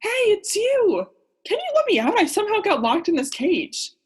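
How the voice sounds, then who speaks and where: Woman playing clueless male oceanographer, high-pitched, swooping intonation, earnest, melodramatic, radio play. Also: wildly swooping pitch, moderate pace, full character voice. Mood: bewildered, defensive. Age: young adult. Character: naive, whiny, oblivious male oceanographer. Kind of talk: student radio play acting.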